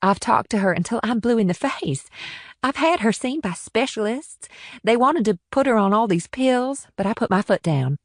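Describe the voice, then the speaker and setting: A woman's voice: a little high, animated, normal speed, no echo. A well-off married mother in an audiobook thriller, acted by a woman, arch and breezy with a defensive edge. The recording is dry, with hardly any room sound.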